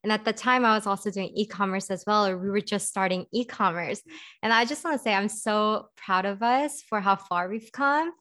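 A woman's voice: mid-range voice, normal speed, a bit bright. An American woman hosting a podcast in an informal, chatty, encouraging way. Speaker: podcast host. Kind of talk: casual podcast chat.

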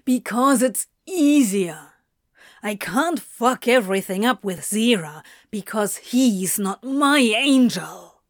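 A woman's voice: mid-range voice, expressive, slow pace, dry recording, clean studio sound. A woman voicing a flustered, defensive, foul-mouthed male supernatural being who mutters and snaps evasively in an audiobook story. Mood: anguished, retreating. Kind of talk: fan fiction audiobook character voice.

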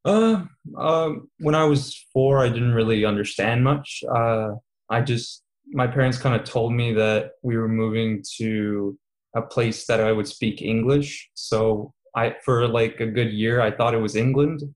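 A man's voice: mid-range voice, a little slow, poor audio. A man in his twenties, a student guest in a casual interview, talking with many fillers and easy laughs. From Serbia, raised in Qatar. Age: 20s.

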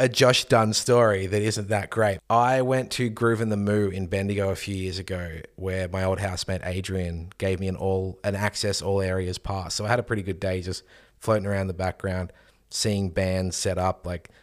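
Joking man, low voice. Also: steady tone, normal speed. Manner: joking storyteller. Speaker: podcast co-host. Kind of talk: casual chat.

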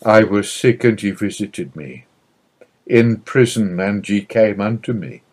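A man reading a scripted religious narration aloud in a solemn, measured manner. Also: on the low side, darkish tone.

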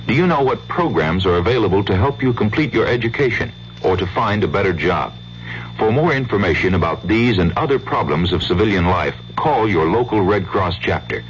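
A low-voiced American man reads a scripted public service spot. The 1970s radio recording is muffled, with faint hiss.

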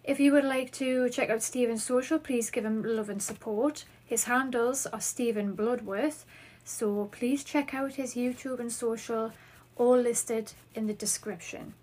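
A woman's voice: on the high side, breathy edge. A woman in her thirties with a Geordie accent from northeast England, hosting a solo podcast and chatting through listener questions. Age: 30s. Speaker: podcast host.

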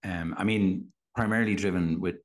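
A man's voice: low-pitched, steady tone, moderate pace. An Irishman co-hosting a discussion podcast, probing in conversation with hesitant, feeling-his-way phrasing. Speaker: podcast co-host.

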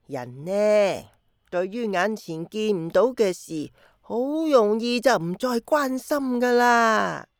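A woman playing a gentle, wistful old grandmother in a radio drama, patiently explaining with sighs. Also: mid-range voice, animated, dry recording, studio quality. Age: older. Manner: slow, sighing, patient explaining. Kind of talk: Cantonese radio drama acting.

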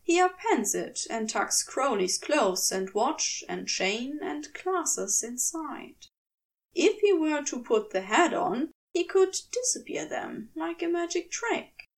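A woman reading scripted fiction aloud as an expressive audiobook-style narrator. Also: sits high, takes it slowly, gravelly, distant mic. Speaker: fan fiction podfic narrator.

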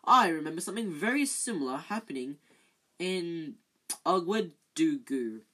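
A vain, boastful wizard speaks in a raised, pompous manner, as a woman reads him out. The voice is a little husky but pitched up with self-importance. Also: lively intonation, normal speed, fairly bright tone.

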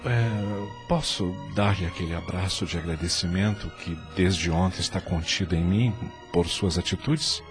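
A man's voice: deep voice, a little slow, hardly any pauses, soft background music. A Brazilian man playing a repentant, devoted husband in a radio soap, solemn and heartfelt. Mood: emotional, loving.

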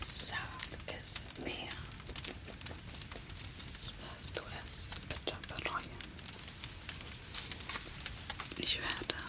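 A woman voicing a teasing, menacing female vampire kidnapper in an ASMR roleplay, talking in a slow, taunting whisper. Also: fairly low pitch, low-quality recording.

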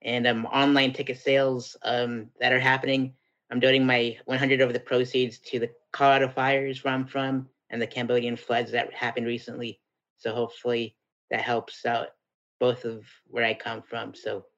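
A man guesting on a casual podcast, speaking calmly and haltingly, often saying "indeed". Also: medium pitch, lo-fi sound. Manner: calm, halting, says "indeed". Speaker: guest comedian. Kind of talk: casual chat.

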